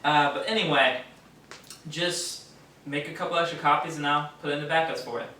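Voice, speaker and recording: Self-assured male writer, played by a man, forceful, offhand stage-play delivery, recorded at a distance with faint noise. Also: fairly high pitch, steady tone, fairly quick. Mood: encouraging, matter-of-fact. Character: self-assured male radio drama writer, mildly condescending. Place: theater stage, distant mic. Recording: very echoey stage, distant, noisy.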